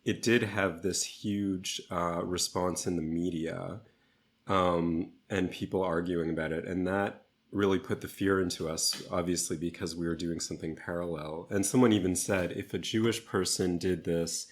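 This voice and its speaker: A man's voice: low voice, fairly even intonation, normal speed. A man speaking as an interview guest, choosing his words carefully and hesitantly in a conversational way. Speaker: theatre artist, interview guest.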